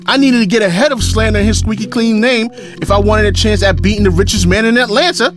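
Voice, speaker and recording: A man's voice: high-pitched, fast, close to an everyday voice. A man playing a smug, taunting political candidate plotting against a rival in an audio drama, with music behind him. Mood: gloating.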